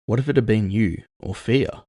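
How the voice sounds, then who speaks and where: A world-weary cyborg gunman acted by a male narrator in a cyberpunk audio drama, brooding and self-doubting. His voice is low and gravelly, recorded very dry. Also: normal speed, mellow, no room sound. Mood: resigned, reflective.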